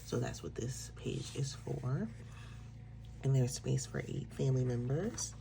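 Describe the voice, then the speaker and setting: A woman with a gravelly, creaky voice, a little stuffed up and nasal from congestion. She walks through planner pages in a relaxed, chatty way, dropping frequent ums. A clear hiss of background noise sits under the recording. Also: extremely low pitch, low-quality recording. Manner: relaxed explaining with frequent fillers. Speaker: planner shop owner. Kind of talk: casual product walkthrough.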